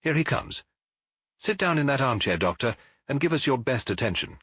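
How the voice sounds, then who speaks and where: A man voicing a keen, self-assured Victorian gentleman detective in an audiobook, with a British accent and an incisive, didactic manner. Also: mid-range voice, very animated, moderate pace, husky, no room sound, clean studio sound, voice pushed up. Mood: confident, commanding. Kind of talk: audiobook character voice.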